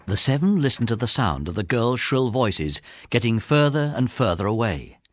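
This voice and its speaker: A man's voice: mid-range voice, big pitch swings, moderate pace, no echo. A man with an English accent narrating a children's adventure audiobook as a scripted story.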